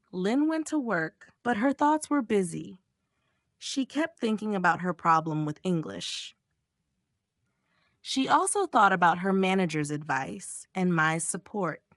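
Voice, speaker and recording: Woman reading an easy story for learners, clear n steady, middle pitch, dry sound. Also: no echo. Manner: clear, simple, measured reading. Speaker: narrator. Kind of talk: slow graded-reader storytelling.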